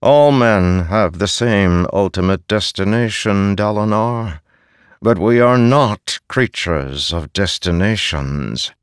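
A man voicing a haunting, solemn, wise spirit in a fantasy audiobook, speaking in measured, deliberate pronouncements. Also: on the low side, clean tone, dry recording, clean studio sound. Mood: grave, consoling.

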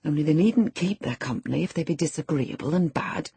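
A woman's voice: low voice, a little fast, mellow, breathy edge, no echo, heavily acted. A woman voicing a sensible, protective nursemaid who admonishes and soothes, in an audiobook. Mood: hushed soothing. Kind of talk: dramatised audiobook character.

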